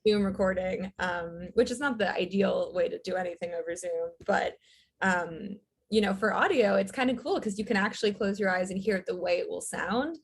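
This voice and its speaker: Husky-voiced woman screenwriter. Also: mid-range voice, normal speed. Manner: rambling, storytelling, frequent filler words. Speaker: writer and director, guest. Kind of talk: casual interview chat.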